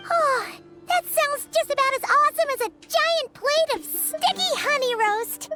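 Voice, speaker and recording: A tiny, excitable fairy companion from a video game. The voice is extremely high, squeaky and childlike. It gushes in animated swoops, with music behind it. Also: female voice, moderate pace, heavily acted. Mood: delighted. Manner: exclamatory, gushing.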